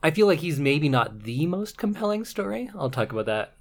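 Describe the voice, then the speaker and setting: A woman's voice: deep voice, big pitch swings, a little fast, darkish tone. A woman with a Canadian accent, co-hosting a casual podcast chat in a frank way, swearing now and then. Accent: Canadian English. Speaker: podcast co-host.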